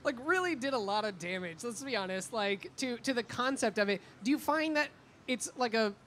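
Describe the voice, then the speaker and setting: A woman's voice: fairly high pitch, animated, normal speed, fairly bright tone. A woman co-moderating a panel, casual and conversational, with loose phrasing full of "like" and "kinda". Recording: live event floor with background noise.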